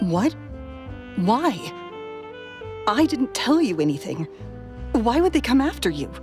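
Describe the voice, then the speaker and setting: A woman's voice: a little high, very animated, slow pace, darkish tone. An actress playing a wary, bitter, defensive woman in a video game. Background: music.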